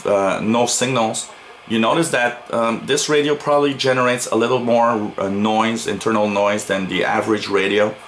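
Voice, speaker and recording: Low-voiced man reviewing gear, noisy recording. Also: steady tone, moderate pace, fairly bright tone. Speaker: radio reviewer. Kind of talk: unscripted product review. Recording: noticeable background noise.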